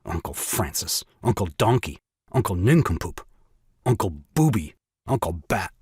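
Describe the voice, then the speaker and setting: A man's voice: lowish voice, very animated, normal speed, darkish tone, breathy edge, dry recording, clean studio sound, heavily acted. An actor playing a self-pitying, exasperated new father in an audio farce, with grandiloquent, melodramatic delivery. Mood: exasperated ranting. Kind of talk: theatrical audio drama farce acting.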